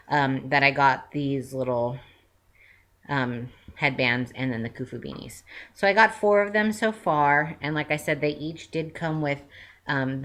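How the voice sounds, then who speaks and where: A woman in her late twenties with a notably low, deep-set voice. She chats casually straight to camera, rambling from one point to the next, filling pauses with "um" and correcting herself mid-sentence. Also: fairly bright tone. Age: 20s. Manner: rambling, with frequent "um" fillers and self-corrections. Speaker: vlogger. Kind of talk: casual chatty update.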